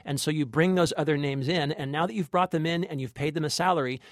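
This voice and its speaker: A man's voice: fairly high pitch, quick pace, no room sound, studio quality. A man co-hosting a film review podcast in casual chat, emphatic and often repeating himself for effect. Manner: emphatic, repeats himself, mimics lines mockingly.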